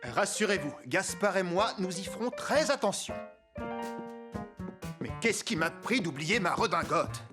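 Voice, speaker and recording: A man's voice: high voice, very animated, a little slow, music in the background. An actor playing a fussy, conscientious doorman in a cartoon, fretful but polite and proper. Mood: fussy, flustered.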